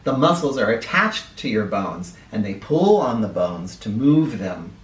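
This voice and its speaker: Male children's book reader. Slow, lively sing-up-and-down delivery, instructive and direct, heard a little away from the mic. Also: mid-range voice. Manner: expressive, instructive, addressing young listeners directly. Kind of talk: animated read-aloud of a children's picture book, with asides. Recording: roomy echo, distant from the microphone.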